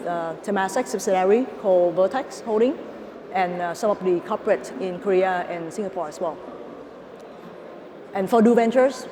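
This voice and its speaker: A woman's voice: mid-range voice, warm tone. A woman in her thirties with a Vietnamese accent, giving a prepared stage presentation as a panelist. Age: 30s. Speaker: panelist, venture capitalist. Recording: reverberant hall with clear ambient noise.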